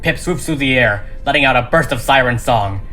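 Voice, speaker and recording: A man's voice: mid-range voice, brisk, sharp tone. A man reading a script as dramatic narration, with emphatic, suspenseful delivery. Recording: music behind the voice.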